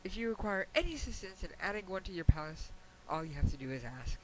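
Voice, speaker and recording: A man voicing a regal, patient pony princess who gently admonishes her student in a formal, wry, measured way, reading a fan fiction letter. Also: high voice, expressive, low-quality recording. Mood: diplomatic, gently chiding. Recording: hissy amateur home recording.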